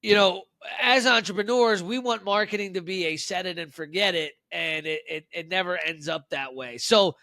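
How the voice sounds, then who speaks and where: A man with a high, bright voice hosts a business podcast. He sounds agreeable, affirming his guest's points before steering on with leading questions, and the recording is close and dry. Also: normal speed, few pauses, no room sound. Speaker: podcast host. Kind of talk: podcast interview hosting.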